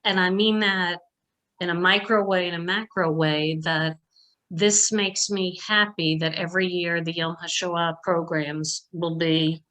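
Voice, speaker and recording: A woman's voice: lowish voice, fairly even intonation, unhurried, a bit bright. An older woman talking informally at a virtual meeting in a conversational, plainspoken and emphatic way. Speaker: donor asking questions at an online meeting.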